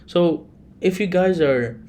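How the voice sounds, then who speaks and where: An Iranian-accented man, a native Farsi speaker, talks through his own podcast at a slow, deliberate pace. He often stops mid-phrase to restart or correct himself. A faint hiss sits under the voice. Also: high voice, mellow. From Iran. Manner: unhurried, with frequent restarts and self-corrections. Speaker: podcast host. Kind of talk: solo podcast monologue.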